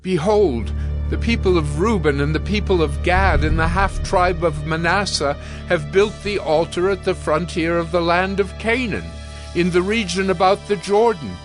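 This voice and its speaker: A man's voice: sits high, moderate pace, faint music behind, natural voice. An actor playing a grave, indignant Israelite spokesman, speaking formally and declaiming in a scripture drama. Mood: alarmed report.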